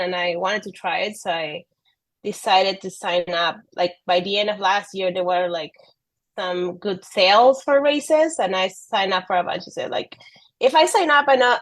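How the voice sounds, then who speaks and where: A woman in her thirties from Peru, speaking English as an as a podcast guest, soft-spoken and hesitant with frequent ums and light laughs. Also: medium pitch, dry recording. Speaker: guest athlete. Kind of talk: casual interview.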